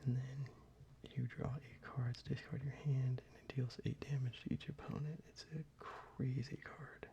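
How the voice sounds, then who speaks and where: A man whispering softly and unhurriedly, with fillers, as he shows cards to the viewer for ASMR. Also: medium pitch, level tone, moderate pace, gravelly, highs cut off. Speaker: ASMR creator. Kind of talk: soft-spoken ASMR card deck showcase.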